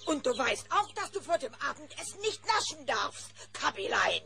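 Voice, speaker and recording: A woman playing a motherly, gently scolding cartoon bear in a children's audio play. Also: high-pitched, sing-song, normal speed, creaky, heavily acted. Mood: fondly scolding.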